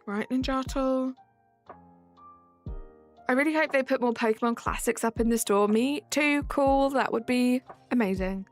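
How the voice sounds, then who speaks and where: A young woman talks casually into a desk mic as she plays a game live, answering her chat in an easy, friendly way. Her voice sits a little high for a woman. Soft music plays quietly behind her. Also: unhurried. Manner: chatty, reads and answers chat while playing. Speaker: live streamer. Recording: faint background music.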